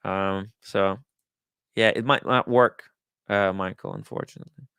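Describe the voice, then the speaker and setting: A man hosting a livestream in casual talk, haltingly thinking aloud as he goes. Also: low voice, fairly even intonation, fairly bright tone, smooth, dry recording. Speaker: livestream host. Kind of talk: casual livestream chat, reading viewer comments and riffing.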